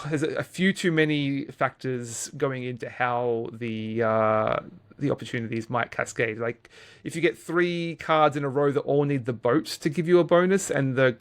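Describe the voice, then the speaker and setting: A middle-aged man co-hosting a podcast, giving a casual game review in a halting, hesitant way with repeated words. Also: medium pitch. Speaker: podcast co-host.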